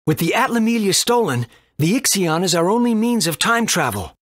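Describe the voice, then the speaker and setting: Instructive game mentor, husky, lively pitch, helpful. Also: male voice, a little fast, no echo, clean studio sound, exaggerated voice. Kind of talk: video game voice acting.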